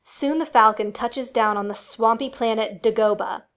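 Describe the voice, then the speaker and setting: High-voiced woman narrating a radio play. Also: moderate pace, fairly bright tone.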